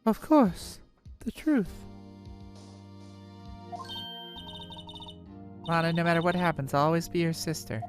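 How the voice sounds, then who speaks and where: A woman's voice: fairly low pitch, lively intonation, brisk, soft background music, close to an everyday voice. A woman voicing a stiff, resigned chief prosecutor in a courtroom video game, speaking formally. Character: stiff, resigned adult female chief prosecutor hiding anguish. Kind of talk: amateur video game voice acting.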